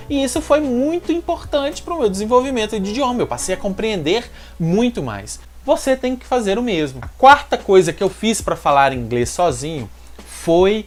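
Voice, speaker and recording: A man from Minas Gerais with a Mineiro accent, speaking straight to the viewer as he gives energetic advice in a vlog. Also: sits high. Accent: Mineiro Brazilian Portuguese. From Minas Gerais, Brazil. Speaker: YouTuber giving language-learning tips. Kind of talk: direct-to-camera advice vlog.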